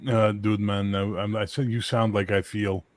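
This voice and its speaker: A man in casual chat, swearing freely and ribbing his friends with loud jokes. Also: lowish voice, level tone, normal speed, crowd noise. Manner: profane, loud joking banter.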